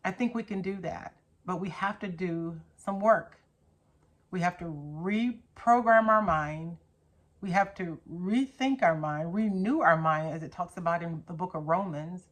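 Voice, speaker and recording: A woman's voice: lowish voice, a little slow. A middle-aged woman hosting a faith teaching channel, talking casually to camera with an earnest, emphatic manner that leans toward preaching. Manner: earnest, emphatic, with rhetorical questions and repetition.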